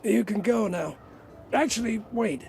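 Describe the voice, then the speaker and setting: Fantasy game guard, high-pitched, creaky, eager subordinate, earnest, talkative. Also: male voice, unhurried, darkish tone, slight background noise. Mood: earnest, cautioning. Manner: deferential, chatty, earnest. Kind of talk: fantasy video game voice acting.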